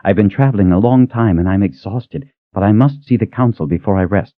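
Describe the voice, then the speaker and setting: A man voicing a tired but determined young noblewoman who speaks gently and firmly. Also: on the low side, a little fast, no room sound, lightly acted. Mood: urgent. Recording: narrow tinny phone-like sound.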